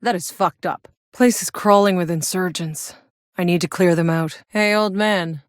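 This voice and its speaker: Actress as a low-voiced, tough sardonic female soldier. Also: normal speed, dry recording, clean studio sound, lightly acted. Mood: probing, angry, then determined, blunt. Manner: blunt, wry, swearing. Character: tough, sardonic battle-hardened female soldier in sci-fi wasteland. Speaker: video game voice actor. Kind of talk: scripted video game character voice lines.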